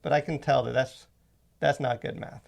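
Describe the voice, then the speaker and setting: A man with a medium-pitched, creaky voice co-hosts a casual podcast. He talks in a loose, rambling, conversational way, circling through his opinions as a movie reviewer with plenty of filler words. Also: steady tone, normal speed. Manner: rambling, filler-heavy, frequent "like".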